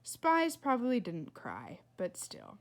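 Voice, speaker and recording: A woman reading a children's book aloud like a teacher, in an animated, expressive way with chatty asides to her listeners. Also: a little high, slow. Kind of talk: animated read-aloud narration.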